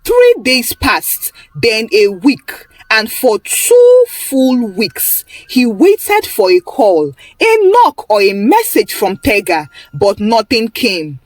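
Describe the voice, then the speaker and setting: A woman with a Nigerian accent tells a scripted tale. Her narration is dramatic and highly animated in intonation. She is recorded close and dry, with faint music beneath her. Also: takes it slowly, few pauses, dry recording. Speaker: storyteller.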